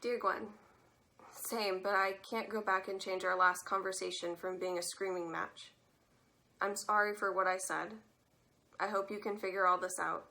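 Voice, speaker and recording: A woman's voice: mid-range voice, steady tone, moderate pace, gravelly, pushed and bright. A woman playing a depressed, wry teenage girl reading out letters in a stage drama. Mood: wistful, regretful. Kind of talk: one-act play letter monologue. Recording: roomy echoing sound.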